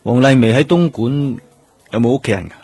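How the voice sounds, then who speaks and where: An actor playing a composed, methodical police detective in a Cantonese radio drama, with a Hong Kong accent and a measured, probing, matter-of-fact delivery. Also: male voice, medium pitch, darkish tone, breathy edge, faint music behind. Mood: probing, businesslike. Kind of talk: radio drama acting, questioning and inner monologue.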